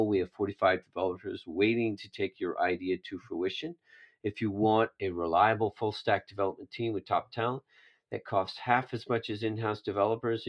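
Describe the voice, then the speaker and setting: Upbeat low-voiced podcast host. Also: male voice, dull audio. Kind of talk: scripted intro and ad read, then interview questions.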